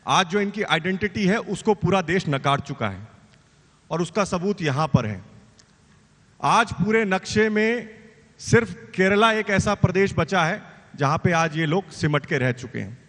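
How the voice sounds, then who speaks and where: A man's voice: sits high, lively intonation, unhurried, a bit bright. A man speaking for his party on a debate panel, rhetorical and emphatic as he turns to his opponent. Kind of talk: political debate speech. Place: large conference hall on stage. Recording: long hall reverb.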